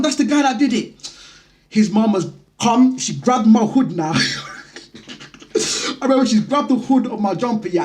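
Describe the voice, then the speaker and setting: High-voiced London man telling stories. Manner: conversational, slangy, animated retelling. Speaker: vlog host. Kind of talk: casual personal storytelling.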